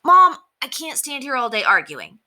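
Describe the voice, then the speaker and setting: A woman voicing an eager, anxious young schoolboy in a children's storybook, excitable and pleading. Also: sits high, normal speed, voice pushed up, exaggerated voice. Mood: pleading, frantic.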